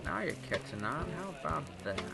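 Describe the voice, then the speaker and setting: Old time radio male actor as smooth-talking smuggler pilot: breezy, boastful, music underneath. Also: on the high side, big pitch swings, brisk, bright, forceful tone, full character voice. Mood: slick, jovial. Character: flirty, boastful smooth-talking bootlegger pilot, adult man. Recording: music bed behind the dialogue.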